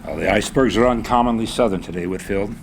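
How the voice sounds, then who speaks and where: An actor playing a polite, breezy, gentlemanly older ship's captain in a screenplay reading. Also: male voice, on the low side, quick pace, lightly acted. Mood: breezy, cordial. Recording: faint background room noise.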